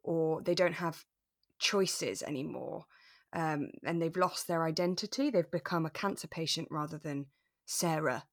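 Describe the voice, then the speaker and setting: A woman with a fairly low voice talks casually about a radio drama as a co-host. The sound is dry, with very little room around her. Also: measured pace, dry recording. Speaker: podcast co-host.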